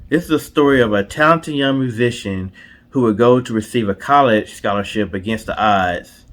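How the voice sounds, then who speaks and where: A man's voice: mid-range voice, normal speed, fairly bright tone. A man co-hosting and retelling a story in a casual storytelling style. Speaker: co-host.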